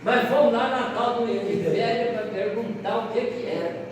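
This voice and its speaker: A high-voiced Brazilian man leads a seminar as an animated storyteller, stretching out words and stopping to fix his own slips. He sounds set back from the mic in a long, echoing hall with a faint hiss. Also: recorded from a distance. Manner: animated storyteller, pausing on words and correcting himself aloud. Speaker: lecturer at a Spiritist seminar. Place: lecture hall.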